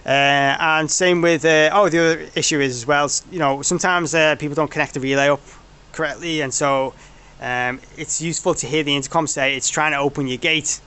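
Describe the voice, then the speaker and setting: A man's voice: on the high side, fairly even intonation, fairly quick, few pauses, sharp tone, clear, resonant tone. A man giving an informal technical walkthrough as a product developer, rambling and correcting himself as he goes. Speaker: product developer presenting a demo.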